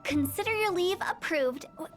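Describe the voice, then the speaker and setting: A woman's voice: very high voice, expressive, normal speed, soft background music. A woman voicing a playful, morbid young funeral parlor director in a video game, teasing and cheerfully macabre in a theatrical way. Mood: breezy, cheerful.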